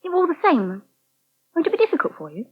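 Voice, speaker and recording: An actress playing a distracted, gently anxious young English waitress in a 1980 British radio soap, hesitant and faltering. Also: female voice, extremely high pitch, huge pitch swings, fast talker, full character voice. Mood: awkward, anxious. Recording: dull, band-limited old radio recording.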